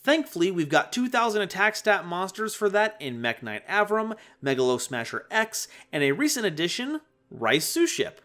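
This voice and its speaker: A man presenting scripted card game commentary in an animated, playful way, with conversational asides. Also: high voice, normal speed. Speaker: host of a card game analysis channel.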